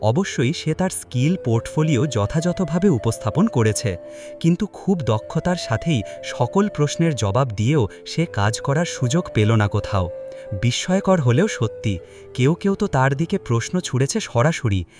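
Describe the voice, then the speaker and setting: A man's voice: mid-range voice, very animated, moderate pace. A man narrating a scripted dramatic love story as a storyteller. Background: music.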